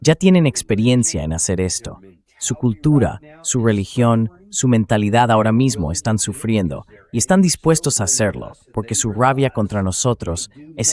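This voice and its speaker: A man's voice: on the low side, a little mellow. A man hosting a political commentary show, explaining his points emphatically and addressing viewers directly.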